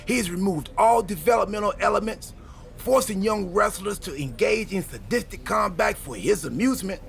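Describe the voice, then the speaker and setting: A man playing an excitable, goofy time-travelling wrestler in a video game cutscene, wisecracking through dramatic exposition. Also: sits high, normal speed, vocal fry, faint music behind. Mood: wry, animated.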